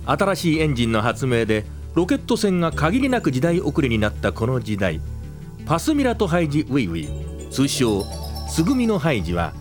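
A man's voice: medium pitch, wildly swooping pitch, a little fast, faint music behind. A man narrating a sci-fi comedy audio drama as a droll, grandiose storyteller with declamatory phrasing. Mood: mock-grand.

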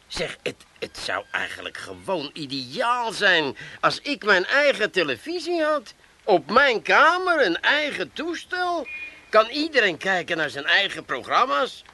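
A man's voice: sits high, big pitch swings, measured pace, gravelly, bright, forceful tone, exaggerated voice. An actor playing a nosy, slyly teasing family man with an Amsterdam accent, repeating words insinuatingly in a comic radio play. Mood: sly, wheedling. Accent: Amsterdam Dutch.

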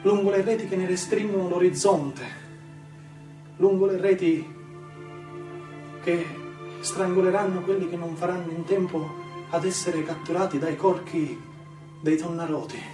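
An actor playing an entranced storyteller in a poetic theatrical monologue, lyrical and full of incantatory repetition. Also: male voice, sits high, steady tone, measured pace, pauses often. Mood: solemn. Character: rapt storyteller visitor, dreamily entranced by swimming tuna. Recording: echoey room, distant mic, music behind. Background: clear music.

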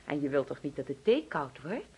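An actress in a radio drama playing a doting, controlling mother who fusses and coaxes with sweet insistence in a husky voice. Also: sits low, sing-song, brisk, warm tone, slight background noise. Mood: sweetly insistent. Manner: coaxing, fussing, overbearing.

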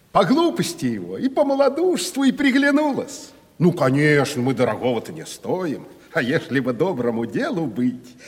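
An actor playing a crafty, uneducated provincial timber merchant in a Russian radio play, wheedling and mock-humble in a folksy way. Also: male voice, on the high side, breathy edge, heavily acted. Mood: folksy, ingratiating. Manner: wheedling, mock-humble, colloquial peasant turns. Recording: reverberant 1970s radio play recording.

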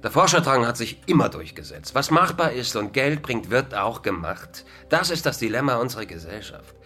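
An actor playing a resigned, pessimistic philosopher in a radio drama interview, lecturing in a ponderous intellectual manner. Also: male voice, mid-range voice, animated, moderate pace, pushed and bright, soft background music. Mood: gloomy, lecturing. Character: gloomy, resigned male philosopher and culture critic.

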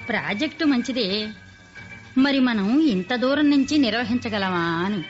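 A woman playing a teasing, lively wife. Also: a little high, normal speed, close to an everyday voice. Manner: gently teasing. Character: teasing middle-aged wife of industrialist. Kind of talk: radio play acting. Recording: muffled radio play with faint music.

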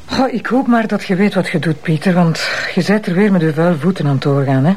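A Flemish actress playing a candid, sceptical deputy prosecutor in a naturalistic TV crime drama. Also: female voice, lowish voice, fast talker, a little mellow, slightly rough. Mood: reproachful. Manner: colloquial, questioning.

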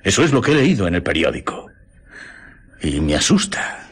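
An excitable, talkative sailor in a Spanish radio play. His voice is very husky and swings dramatically up and down, with a Castilian accent. He speaks emphatically and takes offence easily. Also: low voice, moderate pace, exaggerated voice. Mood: uneasy, gossiping. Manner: emphatic, indignant when crossed.

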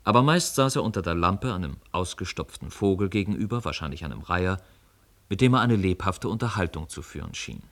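Male narrator for radio drama: very low voice, measured, articulate literary storytelling. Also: a bit bright.

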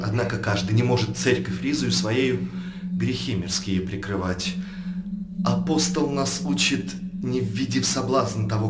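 An actor playing a learned, scheming cardinal in verse radio drama, speaking with solemn, preachy oratory. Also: male voice, mid-range voice, natural voice. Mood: sternly preaching. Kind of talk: classical verse stage drama. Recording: roomy radio-play recording.

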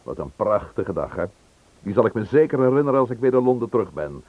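A man's voice: fairly low pitch, big pitch swings, fast talker. An actor in a Dutch radio play, playing a polite, inquisitive Englishman, a detective on holiday. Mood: pleasant, relaxed. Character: courteous, inquisitive Englishman, a police inspector on holiday. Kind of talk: Dutch radio drama acting. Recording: muffled lo-fi old radio recording.

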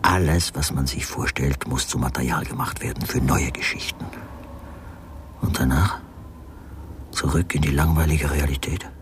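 An actor playing a brooding, cynical writer who muses slowly and sardonically to himself in a radio drama. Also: male voice, a bass voice, steady tone, a little hiss or hum. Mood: brooding, calculating. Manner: slow, sardonic inner monologue.

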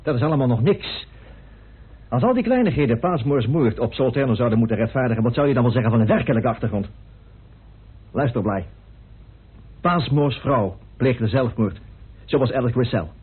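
A grim, bitter man in a radio play. His voice is very rough and husky, his delivery emphatic and rhetorical. The old recording is narrow and muffled. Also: medium pitch, animated, normal speed, long pauses. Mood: bitter, accusing. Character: grim, indignant man bitterly revealing a hidden truth.

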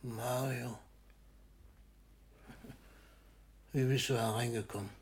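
A man's voice: medium pitch, fairly even intonation, moderate pace, lightly acted. A man acting an ailing old poet in a radio drama. He is philosophical and fatherly, wry about his own decline. His voice sits in a medium range. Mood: surprised, fond. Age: older. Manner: philosophical, wryly theatrical, with whispered asides. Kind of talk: German radio play acting.

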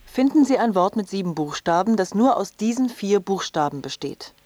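A woman's voice: mid-range voice, expressive, normal speed, a little mellow, dry recording, natural voice. A woman playing a formal test voice, dictating scripted instructions item by item. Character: slow, formal female test voice dictating questions.

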